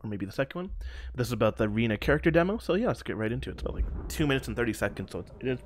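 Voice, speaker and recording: Fast, animated young man reacting. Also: mid-range voice, a little hiss or hum. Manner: loose, hedging, informal. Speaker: reaction video host. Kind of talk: casual, unscripted reaction commentary.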